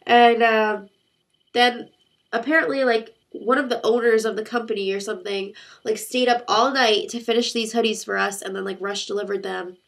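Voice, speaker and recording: A woman's voice: a little high, steady tone, moderate pace, creaky. A young woman with a congested sick voice, chatting in a rambling, talkative way to her viewers. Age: young adult. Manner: chatty, rambling storytelling with many fillers. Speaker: vlogger. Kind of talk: casual chat to camera.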